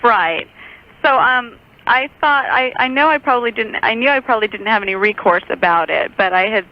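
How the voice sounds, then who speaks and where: A woman's voice: a little high, a little fast. A woman in her twenties with an American accent, calling a radio show and casually telling her story. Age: 20s. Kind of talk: casual phone-in account. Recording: dull lo-fi phone call on radio.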